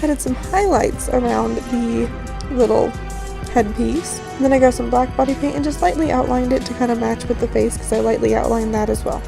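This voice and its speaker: A woman with a raspy, slightly hoarse just-woken voice, giving a casual, rambling voice-over tutorial as host. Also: fairly high pitch, normal speed. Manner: rambling, informal. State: just woke up, morning hoarseness. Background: background music.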